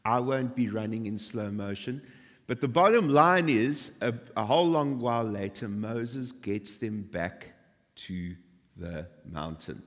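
A man's voice: lowish voice, lively intonation, measured pace, in a large hall. A man in his forties preaching a sermon on a church stage, telling stories with self-deprecating asides. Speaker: pastor.

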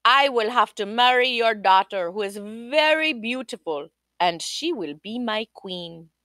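Lady playing a bossy young storybook king, loud and proclaiming, slow and showy. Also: on the high side, lively intonation, no room sound. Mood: pompous. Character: young boastful male king, commanding.

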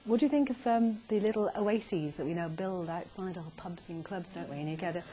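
A woman's voice: fairly low pitch, brisk, warm tone, a little hiss or hum. A middle-aged woman asking conversational questions as an interviewer at a live stage event. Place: small arts centre stage.

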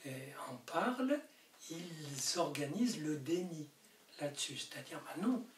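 An older man from Morocco speaks slowly and deliberately, his voice carrying the room's reverb. He sits back from the camera in a book-lined study, and light hiss runs under the audio. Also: a little high, far from the mic. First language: Arabic. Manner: animated, gesturing, rising to emphatic peaks. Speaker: author. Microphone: camera mic from a distance.

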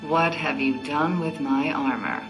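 An actress playing an imperious older military scientist in a game, speaking in a clipped, formal way. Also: female voice, low-pitched, measured pace, over music, low-quality recording. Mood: cold, probing. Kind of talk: video game cutscene acting.

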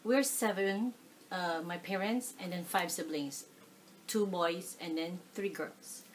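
A middle-aged woman from the Philippines with a Filipino accent, answering oral history questions in a living room, animated, with many fillers. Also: on the low side, slow, a little hiss or hum. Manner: animated, gestures while talking, frequent fillers. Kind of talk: casual oral history interview. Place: living room, seated on a leather sofa.

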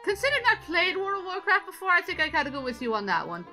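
A woman's voice: very high-pitched, big pitch swings, very fast, sharp tone, faint music behind, exaggerated voice. A woman voicing an elegant female anime attendant, teasing and playfully prim, in improvised gaming banter.

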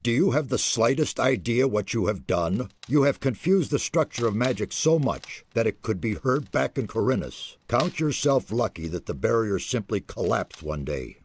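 An actor playing a haughty, irritable fantasy mage in a video game, with pompous, scolding, theatrical diction and a husky voice. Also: male voice, mid-range voice, animated, normal speed, few pauses. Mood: angry, scornful. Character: haughty, irritable male mage guarding ancient secrets.

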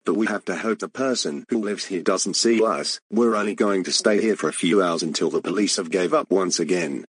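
A man voicing a fugitive hiding from the police, talking in a hushed way and explaining to viewers. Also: sits low, brisk, slightly rough, dry recording. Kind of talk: amateur voice acting.

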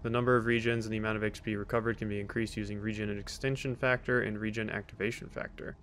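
A man's voice: fairly low pitch, flat delivery, quick pace, fairly bright tone, slight background noise. A man giving casual let's play commentary in a dry, joking, sarcastic way. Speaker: gaming video host. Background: video game sounds.

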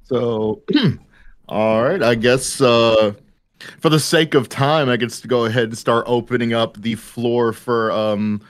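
Smooth-voiced man hosting a casual panel. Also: medium pitch, steady tone, takes it slowly, no echo. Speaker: panel moderator and cast member.

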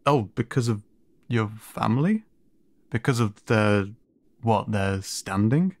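A man playing a gentle, flustered siren in an audio roleplay, speaking haltingly and stammering with concern, often dropping to a breathy near-whisper. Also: on the low side, expressive, no room sound. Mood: worried, baffled. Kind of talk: audio roleplay addressed to the listener.

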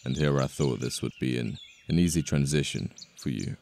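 A man acting a possessive yet protective mafia boss in an ASMR romance roleplay, with a British accent, a deep gravelly voice and an intimate manner full of sighs and pauses. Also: darkish tone, slight background noise. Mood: menacing, teasing. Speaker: voice actor. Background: birdsong, outdoor ambience effects.

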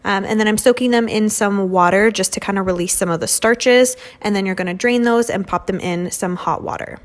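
Young woman, mid-pitched, relaxed chatty vlogger narrating her home cooking. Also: a little fast. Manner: chatty, many "um" and "like" fillers. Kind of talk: casual cooking voice-over. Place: home kitchen.

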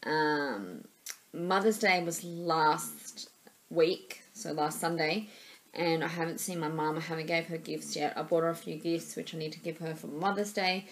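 Australian woman in her thirties, rambling webcam vlogger with a stuffy nose, low-ish voice, faint hiss in the background. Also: a little slow, low-quality recording. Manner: rambling, many fillers and repeats. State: stuffy nose, sore throat. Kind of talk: casual vlog chat. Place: small room indoors. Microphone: webcam at desk level.

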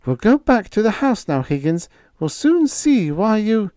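A man voicing a young blind woman who speaks in a high, indignant tone. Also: wildly swooping pitch, a little slow, dark tone, dry recording, clean studio sound, exaggerated voice. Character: young woman, blind and proud, then frightened.

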